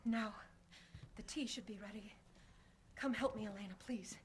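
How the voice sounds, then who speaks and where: An actress playing a devout, gentle village woman in a video game, measured and reverent. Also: mid-range voice, a little hiss or hum, natural voice. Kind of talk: solemn video game acting.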